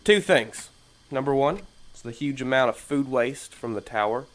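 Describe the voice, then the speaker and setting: An actor playing a plain-spoken, blunt working-class sewage worker in an audio drama, sometimes hesitant. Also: male voice, medium pitch, animated, moderate pace, slight background noise, natural voice. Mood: exasperated.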